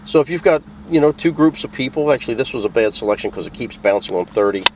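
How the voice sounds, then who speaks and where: A man's voice: mid-range voice, expressive, fast, noisy background. A man presenting a product tutorial in a casual, plain-spoken, conversational way, walking through things step by step. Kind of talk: casual instructional walkthrough.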